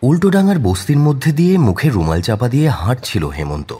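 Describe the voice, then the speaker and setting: A man's voice: medium pitch, very animated, a little fast, mellow, husky. A man narrating a scripted crime audio story in a dramatic storytelling style.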